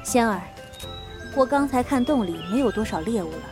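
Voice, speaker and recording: Lady as composed wuxia shifu woman, slightly high voice, stately and formal, music underneath. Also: lively intonation, brisk, a little mellow, lightly acted. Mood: approving, calm. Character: composed, authoritative female martial arts master, mature. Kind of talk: Chinese period wuxia audio drama acting.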